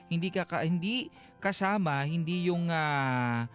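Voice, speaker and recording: A man's voice: on the high side, a little slow. A Filipino man co-hosting a radio advice show, talking conversationally and mixing some English into his Tagalog. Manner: code-switches between Tagalog and English. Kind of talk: conversational radio advice, reading listener comments. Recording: music playing behind the voice. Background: background music.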